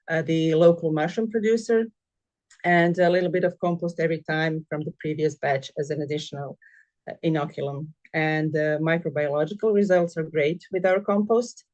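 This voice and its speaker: Middle-aged woman with a Croatian accent, halting delivery. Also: lowish voice, darkish tone. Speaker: compost practitioner and agricultural school project manager, presenting. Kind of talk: informal presentation of own work.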